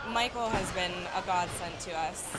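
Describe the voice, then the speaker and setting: A woman's voice: fairly low pitch, moderate pace, a loud crowd, very noisy. A woman giving unscripted interview answers in everyday language. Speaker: interviewee, mother of a patient.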